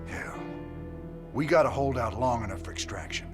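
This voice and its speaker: An actor playing a weary, determined outlaw commander in a video game, terse and rugged. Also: male voice, medium pitch, normal speed, lightly acted. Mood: grim, urgent. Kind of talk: video game cutscene acting. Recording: loud music behind the voice.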